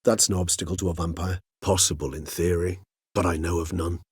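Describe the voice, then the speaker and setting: An ancient vampire gentleman for a video game, with a low, husky-edged voice in a very dry, close recording. He is courteous and erudite, choosing formal, measured words. A melancholy, philosophical wryness runs through his speech. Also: level tone, dry recording. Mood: grave. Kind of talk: video game character dialogue.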